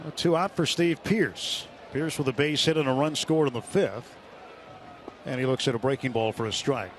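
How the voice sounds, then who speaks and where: Guy doing live baseball play by play, descriptive, normal pitch, noisy ballpark in the back. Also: moderate pace. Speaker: radio baseball play-by-play announcer. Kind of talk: live sports commentary. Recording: clear stadium noise behind live broadcast. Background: outdoor ballpark ambience.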